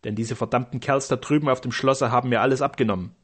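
A male narrator voicing Henry Cort, a scheming brigand on the run, with a blunt, mocking manner and a breathy voice. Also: mid-range voice, fairly even intonation, fast, lightly acted.

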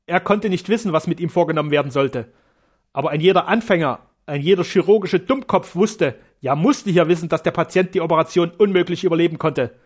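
A male narrator plays a fearless German doctor in an audiobook. He speaks with formal, heated conviction and accuses his rivals outright. The voice sits a little higher than a typical man's. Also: a little fast, husky. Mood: indignant.

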